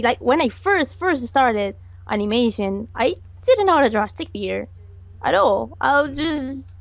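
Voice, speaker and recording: A young woman giving a casual interview over a voice call as an animator. She talks in halting bursts and laughs often. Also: a little high. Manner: giggly, halting, speaks in bursts. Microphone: computer mic on a voice call.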